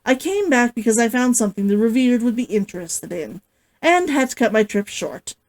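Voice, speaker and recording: A woman's voice: on the high side, big pitch swings, fairly quick. A woman voicing a dutiful, practical monster hunter woman who speaks firmly and matter-of-factly in a story.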